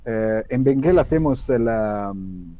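A man with an Italian accent speaks hesitant, halting Spanish, filled with pauses and stray Italian and Portuguese words. His voice is mellow and dark. The sound is dry and close. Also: lowish voice, lively intonation, no echo. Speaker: guest, mission radio project manager.

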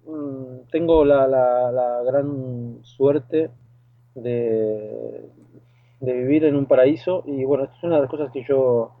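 A man's voice: medium pitch, no echo. A man from Argentina with an Argentine accent, a guest talking hesitantly with many fillers and restarts over the phone. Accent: Argentine Spanish. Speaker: guest, lifeguard and boat skipper. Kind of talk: phone interview. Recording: tinny phone line.

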